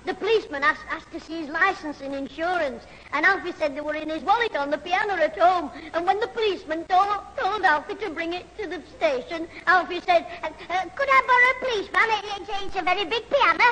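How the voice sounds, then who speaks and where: A very high, bright boy's voice, cheeky and quick-witted. He delivers lines in 1970s radio sitcom style on an old, hissy hall recording. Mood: cheeky, teasing.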